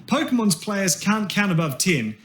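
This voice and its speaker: A man's voice: high voice, very animated, normal speed, a bit bright. A man with a New Zealand accent reading scripted comedic narration in a wry, tongue-in-cheek way. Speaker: video essayist.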